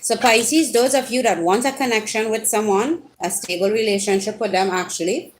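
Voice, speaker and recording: A woman's voice: medium pitch, normal speed, poor audio. A Trinidadian woman hosts a live tarot stream, talking casually to her viewers through a webcam mic. She teases them directly and her voice jumps up in sudden exclamations, with a faint hiss of noise underneath. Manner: chatty, teasing viewers directly, rising exclamations. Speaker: tarot reader.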